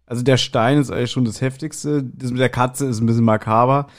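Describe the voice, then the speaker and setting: Male podcast co-host, medium pitch, colloquial and explanatory, relaxed conversational reviewing. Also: fast talker. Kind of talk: casual review chat about audio dramas.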